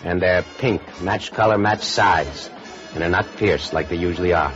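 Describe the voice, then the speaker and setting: A jumpy, cagey petty crook out of 1950s radio crime drama. His voice sits fairly low, and he talks in evasive, stammering tough-guy slang. The vintage recording is dull, with faint music. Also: normal speed, a bit bright. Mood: hesitant, defensive.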